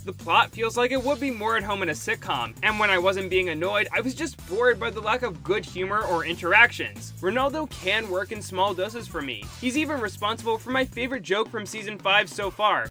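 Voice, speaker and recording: A man with a high, bright, forceful voice hosts a scripted review. He speaks critically and gives firm opinions, with music playing clearly behind him. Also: expressive, fairly quick, few pauses. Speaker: host of a cartoon review show.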